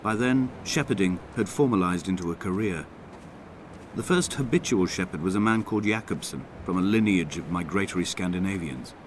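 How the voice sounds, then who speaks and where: An actor playing a lone, grieving castaway, narrating in a measured, solemn voice as a literary game monologue. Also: male voice, low-pitched, lively intonation, a little hiss or hum. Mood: somber, reflective. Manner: brooding, measured, solemn, poetic delivery. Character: lone, grieving, injured male castaway narrating his island exile. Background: prominent music.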